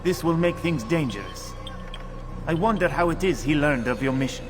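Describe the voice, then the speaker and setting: An actor playing a courteous, helpful assassin bureau chief in a video game, with formal, polite delivery. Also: male voice, fairly high pitch, animated, moderate pace, music in the background, close to an everyday voice. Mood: concerned. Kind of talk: scripted game cutscene acting.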